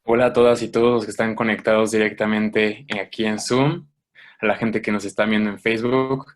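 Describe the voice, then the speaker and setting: Low, even-voiced man host. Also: brisk. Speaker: co-host, interviewer. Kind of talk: online interview.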